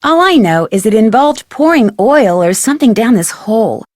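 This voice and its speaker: A woman's voice: a little high, sing-song, moderate pace, a little mellow, no echo, studio quality. A woman playing a curious young female detective in a video game, speaking conversationally with an American accent in an earnest, matter-of-fact way. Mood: earnest, intrigued. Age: young adult. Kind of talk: video game dialogue acting, conversational phone chat.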